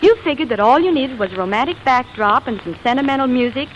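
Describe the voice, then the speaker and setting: An actress playing a skeptical, sharp-tongued woman pursued by an old sweetheart in a 1940s radio romantic comedy, with wry retorts. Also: high-pitched, animated, slight background noise. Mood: scornful. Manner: sardonic, quick with wry retorts. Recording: dull vintage radio recording, music behind.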